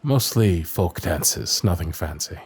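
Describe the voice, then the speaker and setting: A man playing a young, dutiful and gentle royal knight in an intimate fantasy audio roleplay, speaking with formal, earnest courtesy. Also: low-pitched, animated, slow, husky, faint music behind, heavily acted. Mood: relaxed, explaining.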